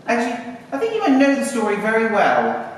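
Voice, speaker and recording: A man's voice: very high voice, wildly swooping pitch, a little fast. A man narrating a story live, chatting informally and coaxing children with questions. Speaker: narrator and puppeteer. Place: live puppet show in arts centre. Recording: echoey hall, distant mic, faint noise.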